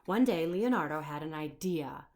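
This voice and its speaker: A woman's voice: low voice, lively intonation, normal speed, darkish tone. A woman children's storyteller reading a picture book aloud, expressively, explaining and putting questions to the listener.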